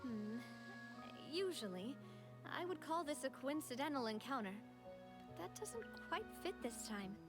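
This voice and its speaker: A woman acting a gracious, gentle young female leader in a video game, grieving yet composed, over music. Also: high-pitched, sing-song. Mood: composed, polite. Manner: gentle, measured, sincere. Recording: music behind the voice.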